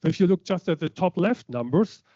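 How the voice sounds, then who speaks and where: A man's voice: high voice, animated, fairly quick, mellow, no room sound, lo-fi sound. A man from Germany, giving a conference lecture from slides, hesitant, with many fillers and restarts. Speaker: keynote speaker.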